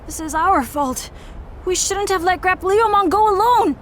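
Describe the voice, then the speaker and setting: An actress playing an anxious, impassioned young heroine in a video game, with an urgent, pleading delivery. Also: female voice, very high-pitched, unhurried, a little hiss or hum. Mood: desperate. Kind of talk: dramatic video game cutscene acting.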